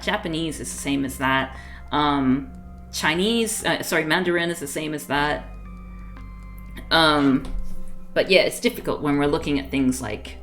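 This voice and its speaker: A woman's voice: deep voice, fairly bright tone. A woman streaming a game, chatting casually and rambling with frequent laughs; her first language was Fiji Hindi. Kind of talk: casual livestream chat while playing a game.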